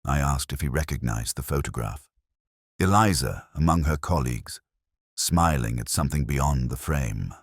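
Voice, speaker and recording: Male narrator for detective fiction: extremely low voice, lively intonation, dry close sound, brooding and measured. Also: breathy edge, no echo. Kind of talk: first-person scripted detective story narration.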